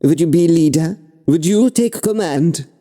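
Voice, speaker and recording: A man's voice: a little high, lively intonation, measured pace, dark tone, long reverb tail, voice pushed up. A man voicing an insect-headed demon humanoid with a German accent and clipped, broken phrasing, his voice lifted well above his own. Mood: probing.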